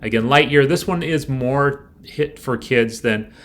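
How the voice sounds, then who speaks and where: Male commentator, medium pitch, informal explainer delivery, halting and searching for words. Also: fairly bright tone. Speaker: screenwriting coach hosting a show.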